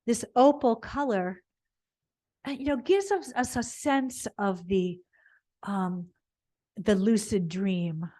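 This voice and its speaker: A woman teaching gentle yoga, leading an unscripted spiritual body scan in a meandering, halting way as she searches for words. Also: medium pitch.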